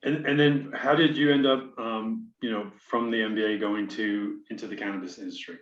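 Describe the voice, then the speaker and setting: A man's voice: lowish voice, fairly even intonation, moderate pace. Guy hosting an interview, muffled echoey sound, stumbles into his questions. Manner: friendly, stammers on openings. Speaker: podcast host.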